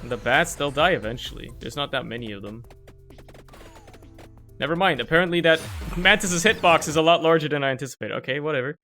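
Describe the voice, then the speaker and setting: A man's voice: a little high, lively intonation, a little fast, pushed and bright, faint music behind. A young man with German as his first language, streaming a video game and rambling through casual, joking commentary that swears often, recorded at home on a desk microphone.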